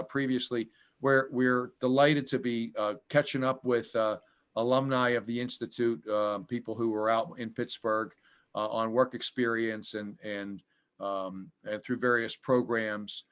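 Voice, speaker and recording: Halting American interviewer. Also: male voice, medium pitch, steady tone, fairly bright tone. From United States. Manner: halting, full of ums and restarts. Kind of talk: casual interview over video call.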